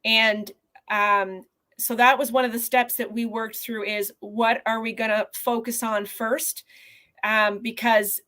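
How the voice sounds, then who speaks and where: A woman in her forties, a physician guest on a video call, explaining her work in an earnest, measured way from a small office. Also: fairly high pitch, level tone, bright, forceful tone. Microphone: video call webcam.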